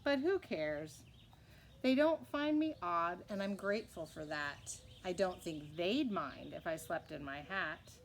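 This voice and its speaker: A woman's voice: on the low side, animated, unhurried, a little hiss or hum. A woman voicing a kindly, shy old eccentric lady from a storybook, chatting in rhyme. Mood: shy, unbothered. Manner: chatty, rhythmic rhyming speech.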